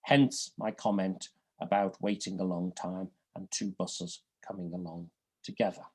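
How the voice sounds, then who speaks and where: A man with a Liverpool accent giving an academic law lecture, with dry humour and a measured, deliberate delivery. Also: sits low, steady tone, husky. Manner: dry humour, deliberate, rises and falls a lot. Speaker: law professor.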